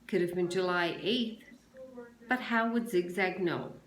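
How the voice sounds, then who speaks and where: A woman's voice: lowish voice, unhurried. A woman, a teacher, reading a children's novel aloud with expression. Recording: echoey room sound.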